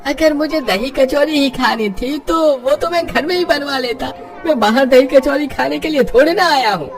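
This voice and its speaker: Narrator as grumbling male cartoon customer, blunt, fussy, raised pitch. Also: normal speed, a faint crowd. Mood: dismissive.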